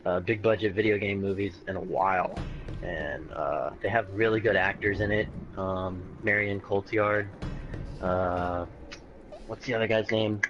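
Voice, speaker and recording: Low voiced guy chatting on a gaming show, bit halting, music playing behind. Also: fairly even intonation, muffled recording. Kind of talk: casual discussion of movies and games.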